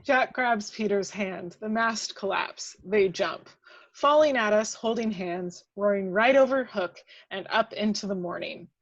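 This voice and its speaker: Female narrator for screen action, dramatic and very unhurried, slightly muffled, very dry mic. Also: mid-range voice, no room sound. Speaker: script narrator. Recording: dull lo-fi, dry microphone.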